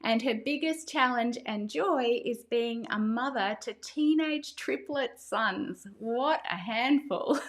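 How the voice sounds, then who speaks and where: Woman cohost, higher voice, reading a guest intro quick like a list. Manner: brisk list-like delivery. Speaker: podcast co-host.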